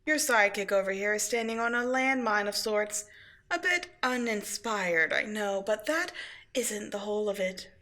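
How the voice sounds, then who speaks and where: Theatrical, swooping supervillainess. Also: female voice, mid-range voice, lively intonation, pushed and bright. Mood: gloating, taunting. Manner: grandiose, taunting, drawn-out emphatic delivery. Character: theatrical female supervillain, gloating, scheming and scornful. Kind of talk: scripted audio roleplay acting.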